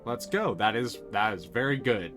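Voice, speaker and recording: A man playing a video game on stream, thinking his moves through aloud and swearing when excited. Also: lowish voice, normal speed, music in the background.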